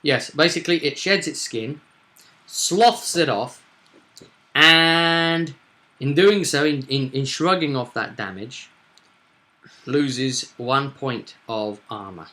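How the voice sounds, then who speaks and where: A man with a bright, forward voice talks as a game master recapping a session. He is animated and casual, and tends to repeat phrases as he explains. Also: mid-range voice, slow.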